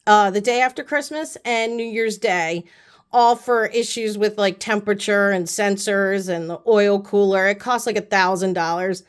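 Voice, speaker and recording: A young woman talks through a beauty haul in a loose, rambling way, full of "like" and "um". She is still sick with the flu, and her voice sits a little high. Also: fairly bright tone. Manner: chatty, rambling, lots of fillers. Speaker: beauty vlogger. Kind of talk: casual haul chat.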